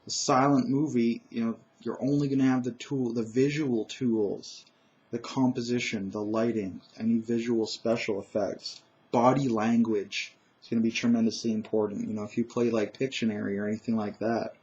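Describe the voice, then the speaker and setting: A man with a naturally loud voice talking alone about tabletop gaming, emphatic and opinionated, with frequent "uh"s and restarts. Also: mid-range voice, steady tone, normal speed, lo-fi sound. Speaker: gaming commentator. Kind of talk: solo opinion monologue.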